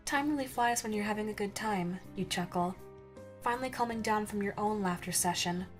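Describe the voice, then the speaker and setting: A woman reading a scripted second-person ASMR story as narrator, in a soft, dreamy storytelling manner. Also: mid-range voice, a little fast. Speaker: narrator and voice actress. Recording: music under the narration. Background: instrumental music.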